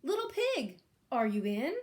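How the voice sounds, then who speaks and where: A woman's voice: on the high side, sing-song, measured pace, darkish tone. A woman voicing a wheedling male wolf calling out in singsong at a door in a children's storybook. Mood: coaxing. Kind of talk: children's storybook read-aloud.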